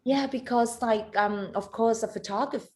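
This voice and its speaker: A woman in her thirties from Hong Kong, a photographer and competition judge, talking on a video call in halting English, animated and laughing often. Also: on the high side. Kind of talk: casual video call interview.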